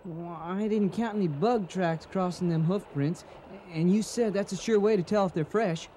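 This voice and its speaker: Male actor, young cowboy, high mellow voice, earnest learner, faint outdoor ambience. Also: moderate pace, slight background noise, natural voice, low-quality recording. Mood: eager. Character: eager young male cowhand learning tracking. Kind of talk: radio western acting.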